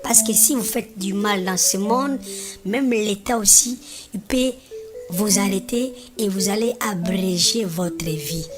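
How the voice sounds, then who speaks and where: A woman voicing a kindly, devout grandmother who patiently teaches her grandchildren in an animated religious film. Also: medium pitch, slow, music in the background, natural voice. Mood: patient teaching. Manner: patient, instructive, often checks understanding.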